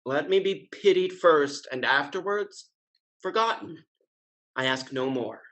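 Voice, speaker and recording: Suave, florid gentleman rake played by a man. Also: fairly high pitch, expressive. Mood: contrite, pleading. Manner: florid, pleading, measured. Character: suave, flattering gentleman rake playing the penitent lover. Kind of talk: scripted stage comedy acting.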